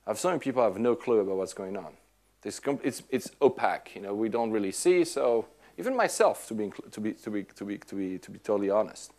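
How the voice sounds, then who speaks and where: A Swiss-born man answering audience questions in casual, animated talk, often restarting his sentences. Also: lowish voice, quick pace. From Switzerland. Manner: animated, many restarts and repeats. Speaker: tech speaker answering questions. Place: lecture room at an institute.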